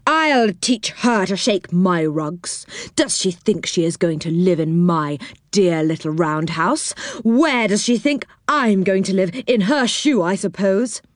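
A woman's voice: mid-range voice, animated, a little slow, few pauses, no room sound, natural voice. A woman voicing a hot-tempered, round-faced moon man in a storybook, exclaiming indignantly. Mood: angry.

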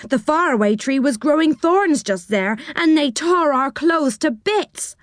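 A woman's voice: sits high, expressive, unhurried, no room sound, clean studio sound, pitched above the performer's own voice. A woman voicing a small, soaked sailor doll who speaks in a plaintive, woeful way.

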